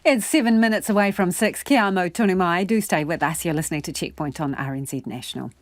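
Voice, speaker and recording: A woman presenting radio news, reading links in a smooth, even way. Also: fairly low pitch, fast, dry recording, clean studio sound. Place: radio studio.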